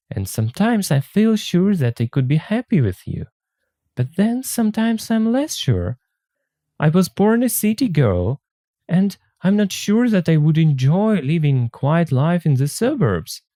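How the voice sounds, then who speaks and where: A man reading as a hesitant young city woman, pitched up. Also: big pitch swings, dry recording, studio quality, full character voice. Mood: hesitant, dreamy. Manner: slow, gentle, deliberate. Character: hesitant, polite young city woman weighing a marriage proposal. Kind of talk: story read aloud for learners.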